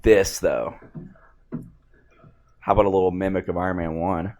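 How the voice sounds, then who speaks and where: A man giving casual, slangy, excitable fan commentary as he watches a movie. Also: low-pitched, normal speed. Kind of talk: casual film reaction commentary.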